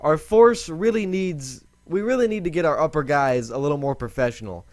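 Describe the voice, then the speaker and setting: A young man hosting a casual gaming video, rambling and chatty as he corrects himself, with a voice he says is lower than usual today. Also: normal speed, darkish tone, no room sound. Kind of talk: casual let's-play commentary.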